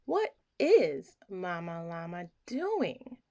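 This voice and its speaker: A woman reading a children's bedtime story aloud in an expressive, theatrical way that acts out the emotions in the words. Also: fairly low pitch, drawn-out words.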